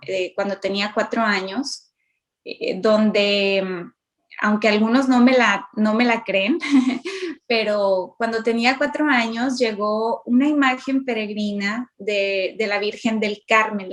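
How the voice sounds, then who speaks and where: A woman with a northern Mexican accent giving a personal testimony as an interview guest on a video call, with hesitant pauses. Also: a little high. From Matamoros, northern Mexico. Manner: hesitant, pausing. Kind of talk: personal testimony, conversational storytelling.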